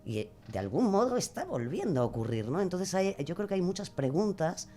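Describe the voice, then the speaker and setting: Woman guest thinking out loud, lots of hesitating, kinda philosophical chat. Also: on the low side, big pitch swings, brisk, soft background music. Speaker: podcast guest. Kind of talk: conversational interview answers.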